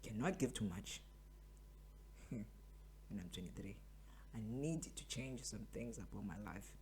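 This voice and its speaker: A man's voice: on the low side, lively intonation, measured pace, a little mellow, slight background noise. A man of twenty-three, hosting a podcast as a casual motivational monologue and repeating phrases for emphasis. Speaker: podcast host.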